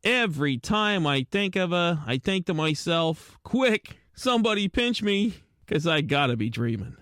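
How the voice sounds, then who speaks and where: A man performs a penguin private detective from a noir radio play. The voice sits fairly high for a male, with slangy, streetwise delivery. He is wisecracking, world-weary and easily lovestruck. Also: big pitch swings, measured pace, a bit bright, no echo, exaggerated voice. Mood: smitten. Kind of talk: hard-boiled noir radio drama narration.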